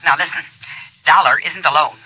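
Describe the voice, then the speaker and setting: A woman playing a gangster's wife giving urgent, hushed warnings by phone in a 1950s radio drama. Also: deep voice, normal speed, breathy edge, no room sound. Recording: muffled old radio, phone voice.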